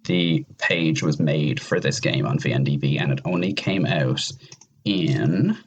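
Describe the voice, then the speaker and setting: A man's voice: very deep voice, a little slow, highs cut off, slight background noise. A man in a casual podcast discussion, rambling and emphatic, opinionated and given to mild swearing. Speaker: podcast panelist.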